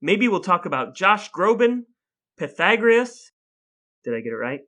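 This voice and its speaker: A man's voice: high voice, very animated, moderate pace, pushed and bright. A man co-hosting a chatty podcast in a playfully mock-formal way. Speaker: podcast co-host.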